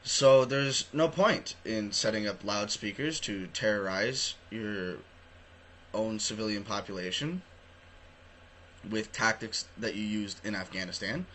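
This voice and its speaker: A Canadian man with a fairly deep, sharp-edged voice delivers opinionated commentary on the news. He is emphatic and sardonic, with coarse language mixed in. There is faint noise in the recording. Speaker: online commentator hosting his own show. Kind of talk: opinionated news commentary, partly reading articles aloud.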